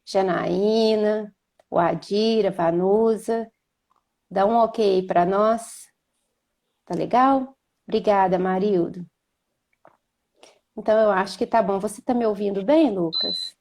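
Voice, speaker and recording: A woman with a Minas Gerais accent hosting a live video call, chatting and then leading a slow, gentle prayer. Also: medium pitch. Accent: Minas Gerais Portuguese. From Minas Gerais, Brazil. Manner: slow, gentle and devout when praying. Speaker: host.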